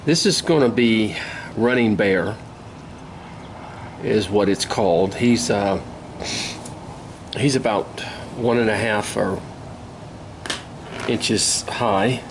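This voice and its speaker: An older man with a hoarse voice from a cold, casually talking through a woodcarving demonstration in an easygoing, folksy way. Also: lowish voice. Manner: easygoing, folksy, unhurried, with many pauses. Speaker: woodcarving instructor. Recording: steady outdoor ambient noise.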